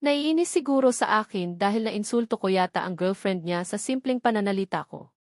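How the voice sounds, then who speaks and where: A woman's voice: medium pitch, expressive, fairly quick, a little mellow, no echo, clean studio sound. A woman with a Filipino accent reading scripted first-person story narration as an audiobook narrator.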